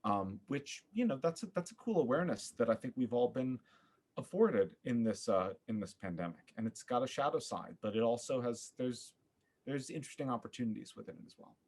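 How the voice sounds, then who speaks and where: A man's voice: mid-range voice, lively intonation, darkish tone. Male podcast guest, mid-range voice, reflective, stop-and-start conversational delivery. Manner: thoughtful, halting, repeats words as he searches for phrasing. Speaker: podcast guest, theater maker. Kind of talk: conversational interview talk about theater.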